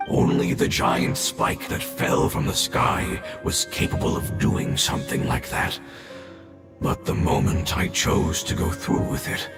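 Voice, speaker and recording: A man voicing an ancient dying creature in a video game, weary, solemn and devoted, with formal, reverent speech and a gravelly growl. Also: deep voice, roomy sound, distant mic, full character voice. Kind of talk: scripted video game voice acting. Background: game music.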